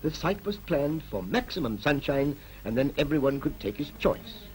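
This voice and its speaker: Lively British 1940s town planner. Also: male voice, fairly high pitch, moderate pace, slight background noise, poor audio. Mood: brisk, explanatory. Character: brisk, persuasive town planner addressing townsfolk. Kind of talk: 1940s animated public information film narration. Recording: vintage 1940s film soundtrack with music.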